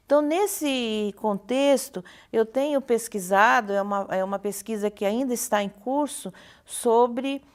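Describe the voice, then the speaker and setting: A Brazilian woman with a fairly high voice speaks as a professor being interviewed. She explains her points haltingly, doubling back on words and adding little checking tags. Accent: Brazilian Portuguese. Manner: hesitant, halting phrasing with repeated words and frequent checking tags. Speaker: university professor and communication researcher, interview guest. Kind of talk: explanatory television interview answers.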